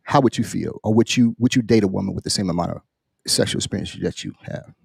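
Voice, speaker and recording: A man hosting a casual podcast chat, halting and repeating phrases as he builds up his questions in an animated way. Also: fairly low pitch, vocal fry, slightly rough, no room sound, studio quality. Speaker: podcast host posing a question.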